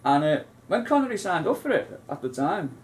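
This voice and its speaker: A man's voice: fairly high pitch, very animated, a little fast, slight background noise. A man, co-hosting a casual film chat, rambling with many restarts and asides. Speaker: co-host.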